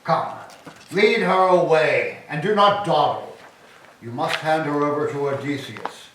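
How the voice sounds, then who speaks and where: A man's voice: on the high side, measured pace, fairly bright tone. A man playing a stern, commanding army herald in a staged Greek tragedy, declaiming his orders. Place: church, live staged reading. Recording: echoey hall, distant mic, background noise.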